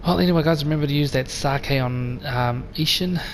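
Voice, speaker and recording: Brief: male gaming host, gravelly and a little croaky, relaxed chatty commentary, game noise underneath. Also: a little high, brisk. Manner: relaxed and chatty, talks straight to viewers. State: slightly croaky voice. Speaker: let's play host. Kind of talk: casual gameplay commentary. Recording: voice over game sound noise.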